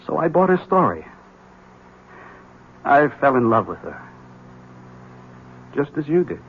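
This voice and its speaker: Brief: a desperate husky man, tense and urgent, slightly high voice, dull old radio sound. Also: very animated, measured pace, slight background noise. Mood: haunted, urgent. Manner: tense, urgent, bursts of agitation. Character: haunted, desperate ex-husband warning a rival. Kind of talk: 1970s radio drama acting. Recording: dull 1970s radio broadcast.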